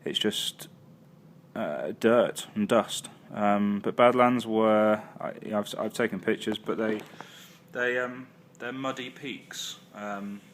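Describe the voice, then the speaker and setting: A man's voice: on the low side, slow, a little hiss or hum. A man casually recapping his travels for a vlog, full of ums and uhs and self-corrections. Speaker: travel vlogger. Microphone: phone or handheld camera.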